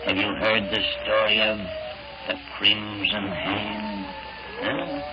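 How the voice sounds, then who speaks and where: An actor playing an eerie, gleefully sinister old hermit who tells horror tales in a creaky, cackling, drawn-out voice in 1940s radio style. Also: male voice, on the low side, fairly even intonation. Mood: menacing relish. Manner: slow, drawn-out, menacing delivery with wild swoops. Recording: muffled, noisy 1940s radio recording.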